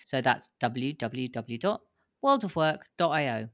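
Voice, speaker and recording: A woman co-hosting a podcast, reading a promo and intro in a cheerful, clear way. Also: a bass voice, very animated, measured pace. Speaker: podcast co-host.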